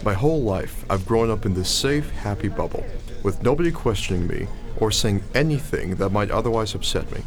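A man's voice: fairly low pitch, animated, brisk, music in the background. An actor playing an earnest, sheltered young college freshman in an audio drama, hesitant and incredulous. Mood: earnest, unsure.

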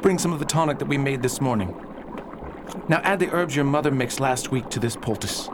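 Man acting tender father, medium pitch, busy and instructing, period radio play, noisy ambient background. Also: a little fast, close to an everyday voice. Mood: urgent, instructing. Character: gentle, busy father tending his sick family.

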